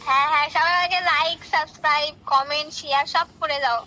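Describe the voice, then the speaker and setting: A young woman, chatting casually on a phone call with quick, sassy retorts. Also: sits high, steady tone, sharp tone, a little hiss or hum. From West Bengal, India. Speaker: prank call recipient. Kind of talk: casual chat.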